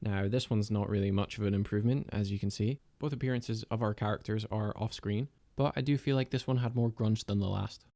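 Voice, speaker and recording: A man giving a scripted voice-over about his own 3D animation project, in a measured, explanatory and lightly self-deprecating manner. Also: fairly low pitch, steady tone, mellow. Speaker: video creator and 3D animator.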